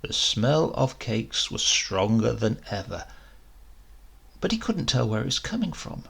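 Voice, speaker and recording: A man narrating a short story in a measured, expressive way, with deliberate pauses for drama. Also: medium pitch, vocal fry, husky. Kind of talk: dramatic scripted literary narration.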